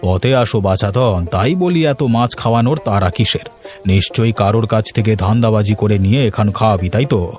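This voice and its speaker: A man's voice: on the low side, a little fast, a little mellow, lightly acted. Shrewd village headman, played by a man, music behind. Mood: suspicious, teasing. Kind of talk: Bengali moral-story cartoon dubbing.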